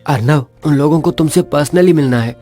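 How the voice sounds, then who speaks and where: A man's voice: a little high, brisk, mellow, soft background music, lightly acted. An actor playing a friendly, practical male business partner in a Hindi audio romance drama. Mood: urging.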